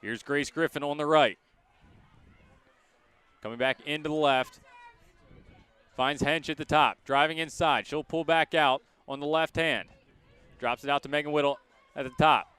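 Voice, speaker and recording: A male radio sports announcer with a slightly high, very clean voice calls a game live from outdoors. His delivery comes in crisp, short phrases that follow the action, with a bright, forceful edge. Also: fairly even intonation, normal speed, long pauses. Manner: crisp present-tense calling in short phrases. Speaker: radio play-by-play announcer for college lacrosse. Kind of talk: live sports play-by-play commentary.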